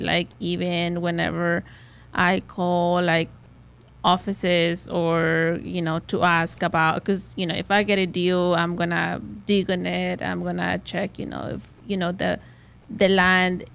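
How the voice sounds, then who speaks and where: A woman's voice: on the low side, creaky, fairly bright tone, smooth. A woman with a Spanish accent, a realtor talking casually on a podcast with lots of "you know" and "like" fillers.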